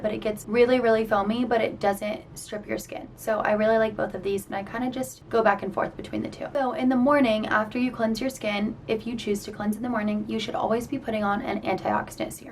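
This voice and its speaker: A young woman in her twenties, a skincare specialist, explaining a routine in a chatty way with a scratchy, tired voice. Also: mid-range voice. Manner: chatty, explanatory, uses "y'all" and "kinda". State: scratchy, partly lost voice. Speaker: licensed esthetician and skincare vlogger. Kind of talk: casual talk to camera. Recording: slightly distant mic with faint background noise.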